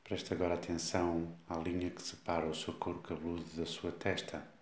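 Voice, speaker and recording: A man with a European Portuguese accent guides a body scan meditation, giving slow, calm instructions with long pauses. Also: sits low. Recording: echoey, reverberant room sound.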